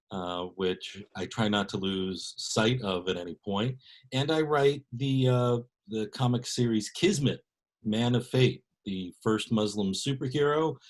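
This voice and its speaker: A man with a somewhat low voice introduces himself in a calm, measured way over a video call. He speaks as an academic on a panel. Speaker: assistant professor.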